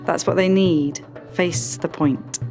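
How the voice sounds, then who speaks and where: A woman playing a whimsical, musing character in a radio play, with deliberate, drawn-out phrasing. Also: fairly low pitch, steady tone. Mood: playful. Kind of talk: slow, stylised art radio play delivery. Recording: long reverb, music behind the voice.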